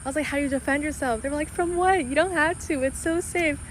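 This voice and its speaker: A woman from Los Angeles with a higher voice chats about her move abroad in an incredulous tone. Faint outdoor ambience with insects hums behind her. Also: fast talker, a little hiss or hum. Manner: incredulous, chatty. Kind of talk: casual storytelling to camera.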